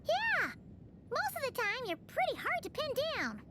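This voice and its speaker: A woman's voice: extremely high pitch, huge pitch swings, noisy background, exaggerated voice. A woman voicing a tiny, chirpy female companion creature who eagerly chimes in with exclamations. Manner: bouncy, exclamatory, quick to chime in. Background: game soundtrack music.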